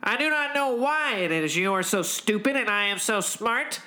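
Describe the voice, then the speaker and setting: Pompous male alien commander, very high and piercingly sharp, vain and bullying, comic sci-fi story. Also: animated, measured pace, exaggerated voice. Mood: imperious. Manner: pompous, imperious, declamatory.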